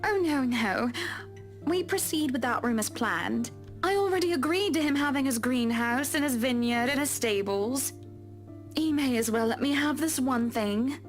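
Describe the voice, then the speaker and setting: Casting: woman playing genteel, sweet society wife for a story game; high voice, refined manners. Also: moderate pace, soft background music, close to an everyday voice. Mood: breezy. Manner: polite, refined phrasing. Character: genteel sweet married socialite woman client. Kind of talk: visual novel game acting.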